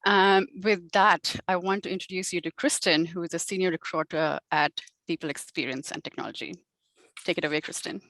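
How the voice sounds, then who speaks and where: A woman's voice: fairly low pitch, steady tone, a little fast, a bit bright, no echo, rough recording. A woman hosting a webinar on a video call, giving a welcome and housekeeping notes with frequent fillers. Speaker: webinar host.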